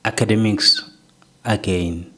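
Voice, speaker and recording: Man, from South Africa, soft low voice, reflective, asks "right?" a lot. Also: fairly even intonation, takes it slowly. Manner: reflective, often ends points with "right?". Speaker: guest. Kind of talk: personal life story interview.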